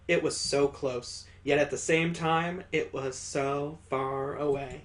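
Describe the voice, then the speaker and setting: A man reading a visual novel aloud as a let's player, with expressive, dramatic narration. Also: fairly high pitch, slow pace.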